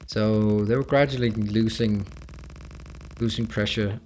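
A man's voice: fairly low pitch, fairly even intonation, a little slow, a little mellow, a little hiss or hum. A man from Denmark with a Danish accent, chatting casually on a game livestream and answering chat as he plays. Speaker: streamer.

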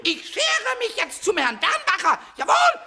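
An actor playing a tiny, mischievous, hot-tempered kobold in a radio play, squawky and excitable. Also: male voice, moderate pace, slight background noise, exaggerated voice. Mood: gleefully indignant. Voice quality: shrill, squawky. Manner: excitable, repeats words, giggling. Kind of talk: radio play character acting.

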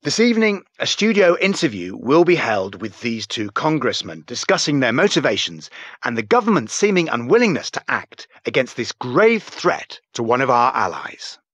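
Formal, animated TV news anchor. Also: male voice, medium pitch, no room sound. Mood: grave, formal. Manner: formal, measured, emphatic newsreader delivery. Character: serious, formal male television news anchor. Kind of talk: scripted news reading.

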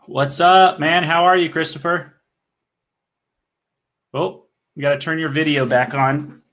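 A man's voice: on the high side, unhurried, fairly bright tone. A man in his thirties hosting a live swim clinic stream from home on a headset, loose and chatty, talking to his viewers. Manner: chatty, loose, with fillers, addresses the chat. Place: home room, video call.

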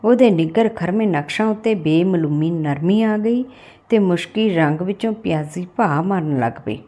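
A woman reads a literary story aloud as an audiobook narrator, with expressive delivery. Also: fairly low pitch, moderate pace.

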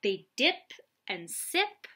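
A young adult woman reading aloud and explaining gently, as a teacher does for young children. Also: fairly high pitch, very animated, takes it slowly, husky. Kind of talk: children's read-aloud and lesson. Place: small lamp-lit room at home, indoors.

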